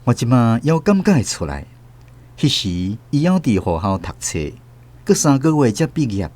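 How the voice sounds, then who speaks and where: An actor playing a wistful older emigrant recalling lost love, narrating a radio drama reflectively with a Taiwanese accent. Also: male voice, medium pitch, big pitch swings, normal speed, darkish tone, close to an everyday voice. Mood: sombre remembrance.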